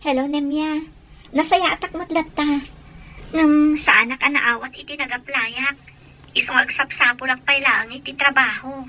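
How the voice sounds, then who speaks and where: An actress with an Ilocano accent playing an earnest, gentle woman in a family radio drama. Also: high voice, steady tone, a little slow, poor audio. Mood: apologetic, reassuring.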